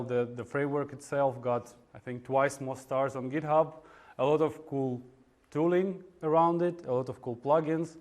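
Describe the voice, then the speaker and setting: Man, Russian accent, medium pitch, informal technical presenter, halting delivery, frequent pauses. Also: a little mellow. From Russia (Moscow). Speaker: conference speaker, web developer. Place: indoor meetup room in front of an audience.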